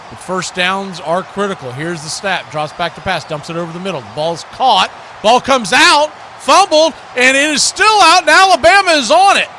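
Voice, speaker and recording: An American man doing live football play-by-play commentary, conversational between snaps and animated on big plays. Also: sits high, moderate pace, few pauses, slight background noise. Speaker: play-by-play sportscaster.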